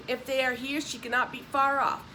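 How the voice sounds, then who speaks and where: A woman's voice: high-pitched, steady tone, moderate pace, sharp tone, a little hiss or hum. Woman reading as a stern, menacing male slaveholder. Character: stern, threatening male slaveholder, cunningly persuasive. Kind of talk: audiobook character voice.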